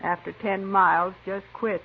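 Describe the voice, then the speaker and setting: Casting: hardened American homesteader widow, blunt, gravelly; tinny vintage radio western. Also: female voice, mid-range voice, normal speed. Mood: grim. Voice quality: husky, gravelly. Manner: blunt, flat-spoken. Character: hard, bitter, plain-spoken frontier widow. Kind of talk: 1950s western radio drama acting. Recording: tinny 1950s radio recording.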